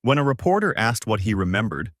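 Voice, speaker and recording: A man reading a scripted dramatic story in a measured, polished storyteller's delivery. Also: lowish voice, very animated, a bit bright, no room sound, clean studio sound. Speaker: narrator of an online story channel.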